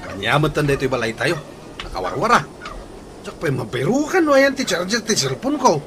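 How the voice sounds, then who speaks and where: An actor playing a nagging, exasperated husband who complains and scolds, in an Ilocano radio comedy drama with an Ilocano accent. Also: male voice, on the high side, big pitch swings, fairly quick, over music. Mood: complaining. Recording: noisy track with ambience.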